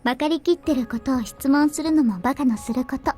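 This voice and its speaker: A frail young girl from a visual novel, acted by a woman, speaks in a high, soft-toned voice. She is teasing and quick with her words. Faint music plays behind her. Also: lightly acted. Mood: playful, matter-of-fact. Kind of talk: Japanese visual novel voice acting.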